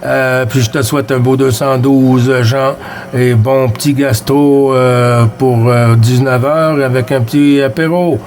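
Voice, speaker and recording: A man's voice: mid-range voice, steady tone, a little mellow. Friendly Québécois man on CB radio. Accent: Québécois French. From Quebec. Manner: friendly and unhurried, reading out call signs and signal reports. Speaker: CB radio operator. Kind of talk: casual radio contact chat.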